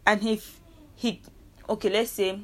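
A woman's voice: mid-range voice, little pitch movement, unhurried, fairly bright tone. A young woman with a Nigerian accent, hosting a casual solo podcast and talking earnestly, rambling and correcting herself as she argues her point. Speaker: podcast host.

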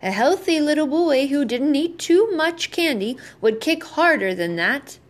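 A woman's voice: high-pitched, lively intonation, unhurried, pitched above the performer's own voice. A woman voicing a grave older male doctor in a children's story, making emphatic, disapproving pronouncements. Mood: reproving.

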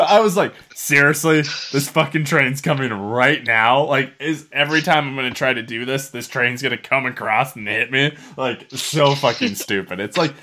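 A man's voice: mid-range voice, pushed and bright, slight background noise. A man telling a story casually as a podcast co-host, rambling and swearing freely.

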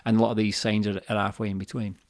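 A man's voice: on the low side, little pitch movement, rapid-fire, no echo. Chatty man, dry close sound. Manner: fluent, explaining with asides and acted-out quotes. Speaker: podcast co-host. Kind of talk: casual chat. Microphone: desk microphone.

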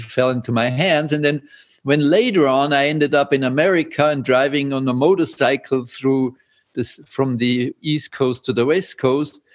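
An older man from Austria with an Austrian German accent, a Zen priest speaking as an interview guest, pausing often with fillers and soft chuckles. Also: on the high side. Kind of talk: reflective interview talk.

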